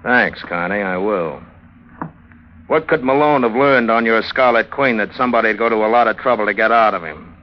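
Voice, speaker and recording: An actor playing a shrewd police investigator in an old radio drama, probing with false patience in an American accent. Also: male voice, lowish voice, moderate pace, a little hiss or hum, close to an everyday voice. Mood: smooth, falsely patient. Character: shrewd, needling male police investigator questioning a suspect. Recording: dull vintage radio recording.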